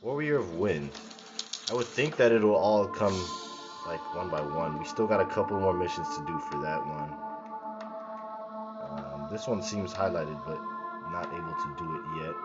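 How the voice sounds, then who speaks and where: A young man hosting a let's play, slightly raspy today, laid-back and swearing freely as he plays. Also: low-pitched, moderate pace. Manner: laid-back, swears freely, talks to himself while playing. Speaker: gaming channel host. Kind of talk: casual let's play commentary. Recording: dull lo-fi mic under loud game music. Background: loud game music.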